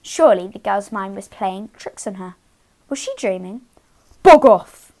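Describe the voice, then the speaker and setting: A woman hosting storytime, speaking to young children in an expressive read-aloud style with dramatic emphasis on key words. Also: mid-range voice, normal speed, low-quality recording. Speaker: children's storytime host and reader.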